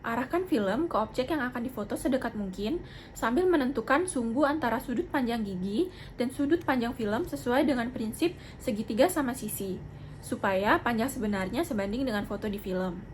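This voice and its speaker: A woman's voice: a little high, animated, normal speed. A young adult woman giving formal, polite, methodical instruction in the manner of a student demonstrating a clinical procedure. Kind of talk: instructional narration with role-played patient consultation. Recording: clearly noisy amateur video sound.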